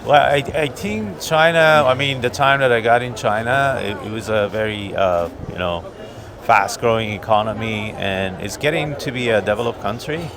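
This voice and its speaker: A man from Iran answering a street interview, thoughtful and hesitant, pausing often with fillers. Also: medium pitch. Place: busy city street outdoors. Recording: busy street crowd behind.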